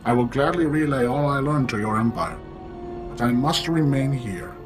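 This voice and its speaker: An actor playing a cold, calculating artificial intelligence in a video game, speaking formally and matter-of-factly. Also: male voice, medium pitch, animated, over music, lightly acted. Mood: grandiose, calculating. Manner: formal, measured, matter-of-fact. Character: cold, calculating knowledge-seeking artificial intelligence, male-voiced. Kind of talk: video game cutscene acting.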